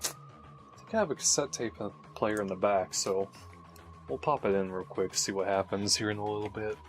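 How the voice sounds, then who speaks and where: A man's voice: on the low side, normal speed, faint music behind. A man giving casual unboxing commentary as host, muttering to himself as he handles things. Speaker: host of a game unboxing video.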